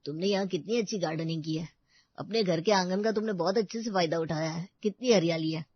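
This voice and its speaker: A woman's voice: fairly low pitch, quick pace, husky, no room sound. A woman playing a fussy, opinionated visiting neighbour in a family story, in a slightly dull recording. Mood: admiring. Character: fussy visiting neighbour, critical of how things look.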